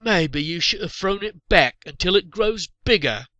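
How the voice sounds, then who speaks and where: A man voicing boisterous, joking medieval huntsmen in a storybook, loud and drawn-out in their teasing. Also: high-pitched, animated, no echo, voice pushed up, exaggerated voice.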